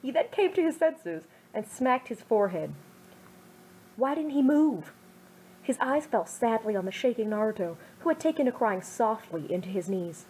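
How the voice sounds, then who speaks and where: A young woman giving a gentle, earnest amateur reading of a fan fiction story on a home microphone. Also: fairly high pitch, lively intonation, normal speed, slight background noise, rough recording.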